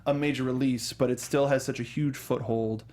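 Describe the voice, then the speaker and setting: Man, talk show host, mid-pitched voice, casual film discussion, halting and emphatic delivery. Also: steady tone, a little mellow.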